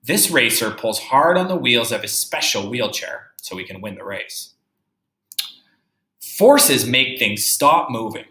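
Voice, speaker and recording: A man teaching young children through a read-aloud, reading deliberately and musing aloud with questions for his pupils. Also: medium pitch, expressive, bright, forceful tone. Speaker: third-grade teacher. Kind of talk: read-aloud lesson with think-aloud commentary. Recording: roomy, echoey indoor sound.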